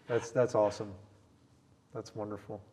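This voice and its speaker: A man's voice: lowish voice, level tone, moderate pace, dark tone, a little hiss or hum. A middle-aged American man chatting casually as an interviewer, thinking aloud and rephrasing as he goes. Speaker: interviewer touring as visitor. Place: large indoor tower room. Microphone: clip-on mic on the chest.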